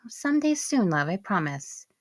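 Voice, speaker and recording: A woman voicing a gentle, affectionate mother in an audiobook, speaking in an encouraging, sing-song parental tone. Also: medium pitch, very animated, normal speed, no echo, clean studio sound, pitched above the performer's own voice. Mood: reassuring. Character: gentle, affectionate young mother soothing her small daughter. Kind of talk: audiobook dialogue.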